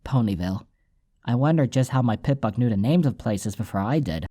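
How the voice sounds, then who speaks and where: A man's voice: medium pitch, lively intonation, a little fast, dark tone, no room sound, clean studio sound. A man reading scripted first-person story narration as an audiobook narrator.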